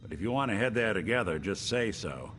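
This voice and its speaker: A weary, kindly robot private eye for a video game. The voice sits low and talks in wry, folksy, hard-boiled gumshoe style, with loud music behind it. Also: male voice, lively intonation, a little fast. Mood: wry, thoughtful. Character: weary but kindly male robot detective, old-fashioned gumshoe.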